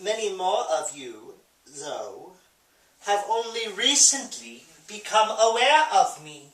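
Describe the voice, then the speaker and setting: A man playing a flamboyant, bitter East German drag rock diva in a grandiose, campy stage monologue with dramatic pauses. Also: sits high, very animated, fairly bright tone, exaggerated voice. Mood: wry, grandiose. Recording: roomy echo, distant microphone.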